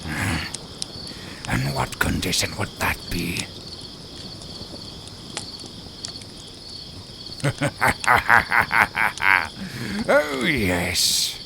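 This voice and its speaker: Man voicing a slow, deep, menacing werewolf. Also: huge pitch swings, creaky, background noise, heavily acted. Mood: dark satisfaction. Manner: deliberate, menacing, formal, with drawn-out pauses. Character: cunning, secretive lone male werewolf, coldly proud. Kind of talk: slow theatrical audio roleplay.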